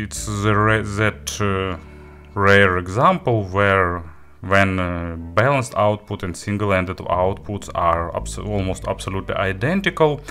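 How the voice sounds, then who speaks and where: A man giving a casual spoken product review as an audio gear reviewer, in a halting way with frequent filler words. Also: low-pitched, a bit bright.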